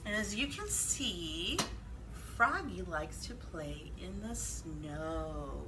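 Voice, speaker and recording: A woman's voice: lowish voice, very animated, drawn-out words, noisy background. A woman hosting a children's library storytime, speaking in an exaggerated sing-song way for young children. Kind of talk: children's storytime, read-aloud and singalong.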